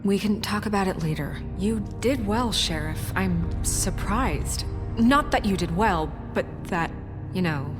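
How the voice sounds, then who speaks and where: An actress playing a principled, authoritative town official in a video game, with a firm, measured delivery. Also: female voice, mid-range voice, animated, close to an everyday voice. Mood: concerned, relieved. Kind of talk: dramatic video game voice acting. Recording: reverberant hall, music underneath.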